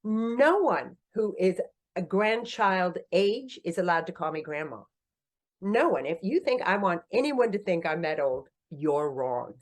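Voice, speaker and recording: A woman in her sixties, an author and company founder, telling her story in a casual podcast interview, animated and self-deprecating, laughing often and jumping up in pitch when she jokes. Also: unhurried. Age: 60s.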